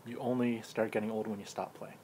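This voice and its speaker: A man's voice: lowish voice, level tone, a little fast, warm tone, slightly rough. A man in his forties chatting casually with friends on a podcast, often agreeing with brief replies. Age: 40s. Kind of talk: casual chat among friends.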